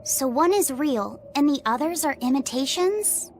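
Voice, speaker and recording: A woman voicing a gentle, curious young boy in a video game, earnest and polite. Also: sits high, lively intonation, measured pace, slight background noise. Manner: earnest, questioning. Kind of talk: English video game voice acting. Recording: game music under the voice.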